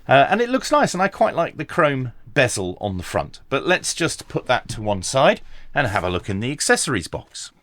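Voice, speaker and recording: A man's voice: mid-range voice, very animated, normal speed, fairly bright tone. A man giving a casual, explanatory product review in a conversational, understated way. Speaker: radio equipment reviewer.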